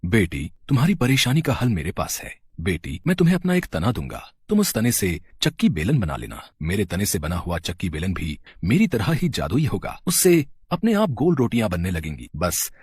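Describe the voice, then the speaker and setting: Guy doing a kind fatherly magic tree for a kids story, husky and expressive, dry recording. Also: fairly low pitch, moderate pace, dry recording, heavily acted. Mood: kindly. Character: kindly magical talking tree, fatherly and helpful.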